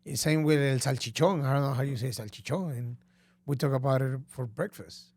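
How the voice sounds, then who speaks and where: A man with a Costa Rican Spanish accent, co-hosting a casual podcast chat in a relaxed way. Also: medium pitch, a little fast, dry recording. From Costa Rica. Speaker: podcast co-host.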